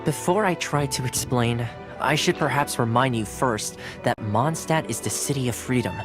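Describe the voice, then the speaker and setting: A man voicing a polite, composed retainer who explains things in a measured way. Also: medium pitch, close to an everyday voice. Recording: game audio with clear music behind.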